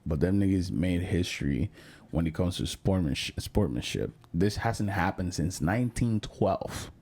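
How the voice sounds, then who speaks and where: A man's voice: deep voice, expressive, measured pace, darkish tone, husky. A man in his late twenties co-hosting a casual podcast chat, swearing freely and telling stories with lots of restarts. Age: late 20s. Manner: laid-back, swears freely, tells stories with many restarts. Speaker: podcast co-host.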